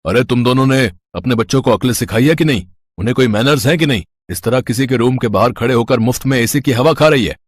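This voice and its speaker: A man's voice: mid-range voice, quick pace, no echo, clean studio sound, natural voice. A man voicing an angry, snobbish rich employer in a moral story, scolding indignantly.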